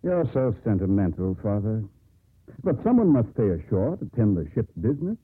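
An actor playing a scornful, dismissive grown son who hates the sea, in a 1950s radio drama. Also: male voice, fairly low pitch, very animated, normal speed, husky. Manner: dismissive, mocking. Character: scornful landlubber son in his twenties or older. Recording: muffled, narrow-band old radio broadcast.

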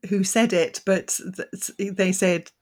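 A woman's voice: mid-range voice, steady tone, takes it slowly, darkish tone. A woman hosting an interview, asking encouraging questions. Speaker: podcast host. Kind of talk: conversational interview.

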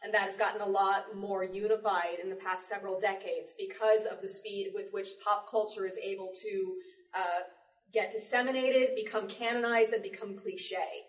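A woman's voice: medium pitch, fairly even intonation. Articulate woman panelist in an echoey room. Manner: articulate, measured, elaborate wording. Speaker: audio drama panelist. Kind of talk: panel discussion. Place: public library panel room. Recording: echoey room, distant mic.

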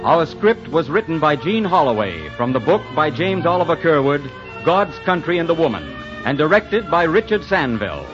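A man's voice: fairly high pitch, big pitch swings, normal speed, few pauses. A man working as a 1940s radio announcer, reading scripted copy in a polished, persuasive manner. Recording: dull vintage 1940s radio recording, faint music.

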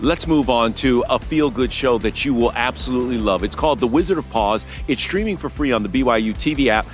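A man's voice: mid-range voice, expressive, a little fast, soft background music. A man hosting an entertainment news show, reading upbeat scripted links and chatting with guests.

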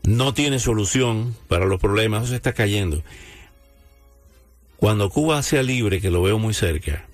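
A man hosting a radio interview, speaking in a measured way and often chiming in with short agreements. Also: lowish voice, lively intonation, no room sound, faint music behind.

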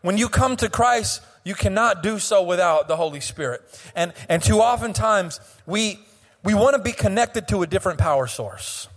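A slightly hoarse young man preaching a sermon as pastor. He speaks with passion, stretches and half-sings phrases, and often restarts his words. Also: sits high, animated, a bit bright, long reverb tail. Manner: impassioned preaching with sung, drawn-out phrases and frequent restarts. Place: church sanctuary.

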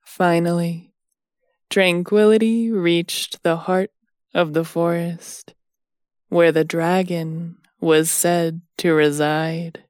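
Woman telling a bedtime story super slowly, lowish voice, soothing, lots of pauses. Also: steady tone, a little mellow, no room sound, clean studio sound. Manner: soothing, measured, with long pauses between phrases. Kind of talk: scripted bedtime-story narration.

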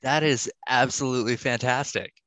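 Bright, dry-sounding male podcast host. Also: medium pitch, fairly even intonation, normal speed, no echo, poor audio. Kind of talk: casual interview, asking quick-fire questions.

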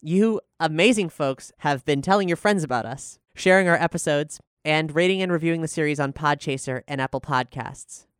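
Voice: medium pitch, a little fast, few pauses, smooth, no echo, clean studio sound. A podcast host talking casually and earnestly in an articulate way during a Q&A discussion.

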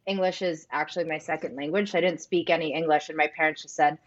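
A woman's voice: low-pitched, fairly even intonation, fast talker, muffled recording. A woman whose first language is Spanish, a company co-founder answering interview questions earnestly, with frequent "um" and "like". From United States. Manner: earnest, reflective, frequent fillers like "um" and "like".

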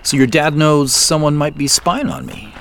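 An actor playing a curious young executive visiting a farm, talking hesitantly with nervous laughs. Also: male voice, a little high, lively intonation, moderate pace, vocal fry, fairly bright tone, a little hiss or hum. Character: curious, unsettled young city executive man. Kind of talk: audio drama acting. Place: outdoors on a farm at night.

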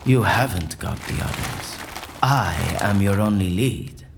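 An actor playing a cunning captive man in an audio drama, speaking in a deliberate, mysterious, theatrical manner. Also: low voice, lively intonation, gravelly, background noise, heavily acted. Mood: smug, cool.

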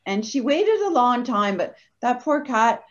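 A woman talking as a podcast guest, telling stories in a chatty, animated way. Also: a little high, a little slow. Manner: chatty, animated anecdote teller. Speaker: guest, energy healer. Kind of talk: casual podcast interview storytelling. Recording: dull lo-fi audio.

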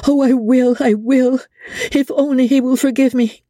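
A woman's voice: sits high, fairly even intonation, mellow, dry recording, studio quality, pitched above the performer's own voice, lightly acted. Woman playing a meek tearful young widow. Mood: yearning. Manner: trembling, halting.

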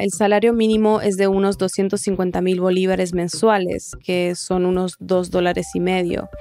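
A woman's voice: mid-range voice, dark tone. A woman hosting a narrative news podcast, reading scripted narration and putting questions on a close mic. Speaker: podcast host.

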